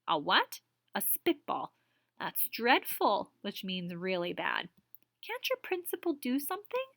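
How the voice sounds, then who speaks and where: A woman voicing a gentle elderly mother who speaks slowly and soothingly. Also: medium pitch, huge pitch swings, dry recording, studio quality, exaggerated voice. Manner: slow, soothing.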